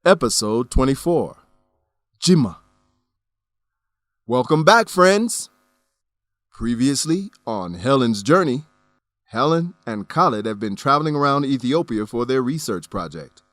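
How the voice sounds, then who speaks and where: Guy announcer introducing a radio drama, very lively intonation, deliberate, dry studio recording. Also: medium pitch, no room sound, faint music behind. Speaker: host and narrator.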